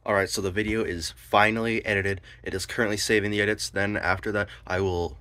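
A man's voice: fairly low pitch, steady tone, a little fast, pushed and bright, no room sound. A teenage male vlogger chatting casually in a laid-back, informal way into a handheld phone. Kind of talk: casual vlog chat. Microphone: phone at arm's length. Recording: noisy handheld phone recording.

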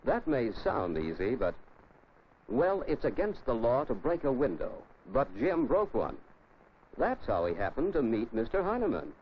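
An American man narrates a 1950s instructional film, teaching the listener directly. His intonation moves up and down in a lively way. The soundtrack is dull and clearly noisy. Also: mid-range voice, normal speed. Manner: didactic, addresses the listener directly.

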